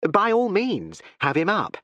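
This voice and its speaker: A male audiobook reader voicing a cold, measured older judge with an imperturbable, dry manner. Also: high-pitched, huge pitch swings, fairly bright tone, no room sound, dull audio, voice pushed up, heavily acted. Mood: sardonic.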